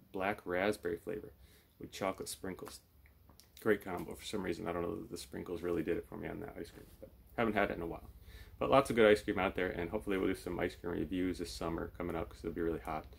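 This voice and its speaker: A man's voice: sits low, steady tone, fast talker, gravelly, breathy edge. Man, thirties, casual puppeteer host, easygoing straight man, off-the-cuff chat, recorded at home at arm's length. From lives in Florida, United States. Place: small home room, indoors.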